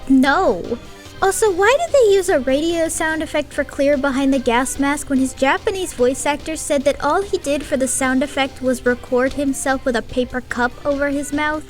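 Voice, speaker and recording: A woman with a high voice reviews an anime in a casual, blunt way, swearing and using sarcasm freely. Soft music plays faintly behind her.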